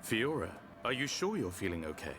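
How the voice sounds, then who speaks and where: An actor playing a grave, reflective male warrior in a video game cutscene, speaking in a measured, solemn way. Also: fairly low pitch, very animated.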